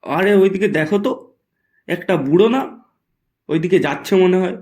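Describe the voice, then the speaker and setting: A man's voice: high voice, normal speed, darkish tone, natural voice. Man acting brash, breezy young sidekick. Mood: eager. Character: brash, carefree young male sidekick, skeptical and chatty. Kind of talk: Bengali horror cartoon voice acting.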